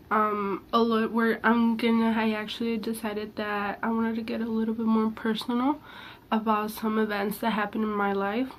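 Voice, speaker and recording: A young woman vlogging about her personal past, earnest and halting, with a slightly sick-sounding voice. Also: a little high. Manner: hesitant, halting, many fillers and restarts; candid and earnest. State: sick, voice a little off. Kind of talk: personal confessional vlog.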